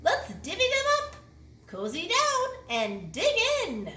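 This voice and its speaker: A friendly, generous badger from a picture book, voiced by a woman. It speaks in an eager singsong, pitched high. The room sounds echoey, with faint noise. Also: huge pitch swings, takes it slowly, bright, forceful tone, heavily acted. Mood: cheerful, eager. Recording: roomy echo with faint noise.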